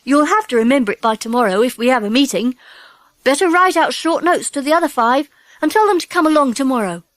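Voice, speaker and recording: A woman voicing an eager, bossy schoolboy in a children's audiobook, with a brisk, boyish, emphatic delivery. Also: high voice, expressive, no echo. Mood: businesslike. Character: eager, bossy schoolboy Peter and his boy pals. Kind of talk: children's audiobook character acting.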